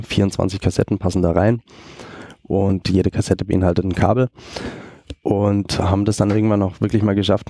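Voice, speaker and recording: Low gravelly man explaining calmly. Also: no echo, studio quality. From Germany. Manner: explains at length, many fillers. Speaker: company co-founder, guest. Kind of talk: casual interview talk.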